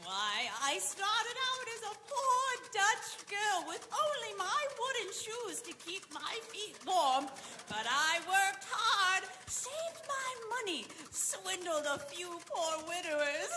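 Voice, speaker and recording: A woman's voice: extremely high pitch, big pitch swings, slow pace, background noise, exaggerated voice. A woman playing a brash, eccentric old millionaire lady in a 1930s-style radio comedy, with theatrical delivery and cackles. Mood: boastful.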